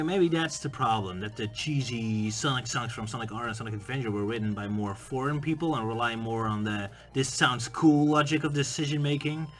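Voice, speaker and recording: A man with a bright, forceful voice talks through his thoughts in a relaxed, conversational way. Faint music plays underneath his commentary. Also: mid-range voice. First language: Dutch. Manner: musing, conversational, bursts into playful mock singing. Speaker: video essay host. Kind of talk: casual spoken commentary.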